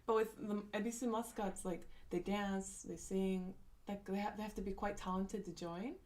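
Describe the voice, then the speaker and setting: A woman's voice: medium pitch, fairly even intonation, normal speed, darkish tone. A young woman chatting casually on a live stream, reading out comments with lots of fillers, restarts and laughs. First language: not Japanese.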